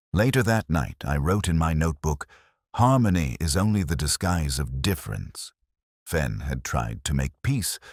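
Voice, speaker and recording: A man playing a melancholy, reflective police detective, narrating his case in solemn, deliberate literary phrasing. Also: extremely low pitch, husky, no room sound, studio quality, heavily acted. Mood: brooding, reflective. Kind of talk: scripted first-person detective story narration.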